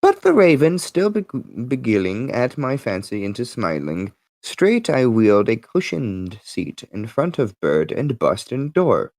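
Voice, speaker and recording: Theatrical man with sweeping, lively pitch. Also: a little slow, hardly any pauses, no echo. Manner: theatrical, with big emphatic swings. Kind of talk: dramatic poetry recitation.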